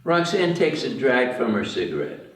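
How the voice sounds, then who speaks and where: A man's voice: mid-range voice, very animated, normal speed. A man reading stage directions as the narrator of a screenplay table read. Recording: very echoey room, distant mic.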